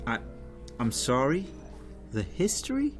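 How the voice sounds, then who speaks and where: Man playing a bewildered rookie, lively pitch, music behind. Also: unhurried. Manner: hesitant, questioning. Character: bewildered, earnest rookie male agent, former mechanic. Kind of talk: audio drama acting. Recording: music under the voice.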